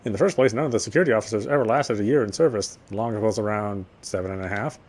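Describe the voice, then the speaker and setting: An actor playing a guarded young policeman who narrates his thoughts in a measured, wry voice for a voiced visual novel. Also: fairly low pitch, darkish tone, lightly acted. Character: guarded young male cop, dry inner monologue. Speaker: police officer. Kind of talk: first-person game narration.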